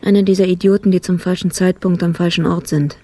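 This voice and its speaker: An actor playing a cynical, world-weary police colleague in a radio drama, blunt and dry in clipped phrases. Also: male voice, high-pitched, flat delivery, fast talker, mellow, no room sound. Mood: weary, bitter.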